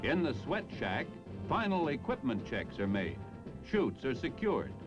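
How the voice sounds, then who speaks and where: A man's voice: mid-range voice, big pitch swings, unhurried, dull audio. An American man narrating a mid-century military newsreel in a jaunty, polished announcer's delivery over music on an old film soundtrack. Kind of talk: 1950s-60s military newsreel narration, scripted.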